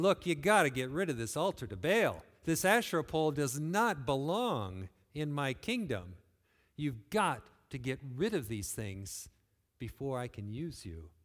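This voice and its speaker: A man from West Michigan preaching a sermon in a church, earnest, pushing phrases up and then letting them fall quiet. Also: medium pitch. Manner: earnest, rising and falling emphasis, quiet closing phrases. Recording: long hall reverb.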